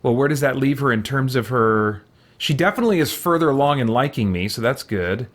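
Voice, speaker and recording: A man's voice: medium pitch, lively intonation, a little fast, fairly bright tone. A man giving casual let's-play commentary, muttering to himself hesitantly and exclaiming mild oaths as he thinks aloud. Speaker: gaming video host.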